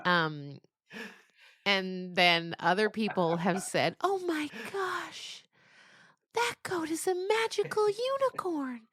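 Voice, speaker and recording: A woman being interviewed as a novelist, telling stories casually in an animated, rambling way with emphatic descriptions. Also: sits high, slow, no echo, clean studio sound.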